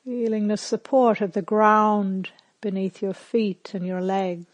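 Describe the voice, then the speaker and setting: Female meditation guide: very unhurried, calm and gentle, mid-pitched, long pauses, dry close sound. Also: no echo. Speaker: meditation teacher.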